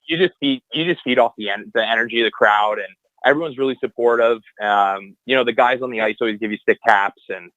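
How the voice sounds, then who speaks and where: A man's voice: mid-range voice, a little fast, fairly bright tone, dry recording. Rambling young man on a muffled line. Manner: rambling, many fillers and restarts. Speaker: guest, hockey anthem singer. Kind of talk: casual podcast chat.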